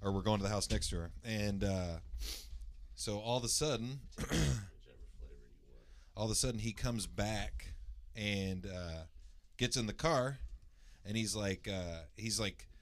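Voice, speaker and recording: Loud, low-voiced man telling a story. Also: a little slow, long pauses. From Indianapolis area, USA. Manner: rambling, many fillers and restarts, clears throat. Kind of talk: casual storytelling.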